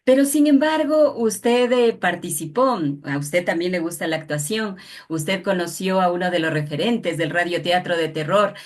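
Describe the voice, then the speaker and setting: A woman's voice: on the low side, normal speed. A woman with an Ecuadorian accent conducting a conversational interview, addressing her guest formally and respectfully. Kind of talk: conversational radio-style interview.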